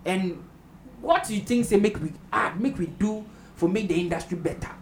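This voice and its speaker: A man's voice: high voice, normal speed. Ghanaian man hosting over background noise. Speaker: roundtable host. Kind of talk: interviewing guests in casual Pidgin-flavoured chat. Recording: noisy room with ambient background.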